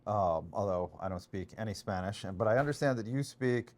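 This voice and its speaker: A man's voice: on the low side, fairly quick, darkish tone. Hammy, jokey male interviewer. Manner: jokey, hammy, stumbling over words. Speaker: political analyst and host. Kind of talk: casual interview.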